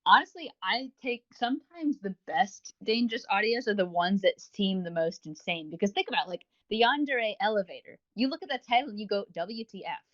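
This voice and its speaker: A man co-hosting a casual review podcast, chatty and quick to give his opinions. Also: high voice, lively intonation, a bit bright, muffled recording. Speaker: podcast co-host reviewing audio roleplays.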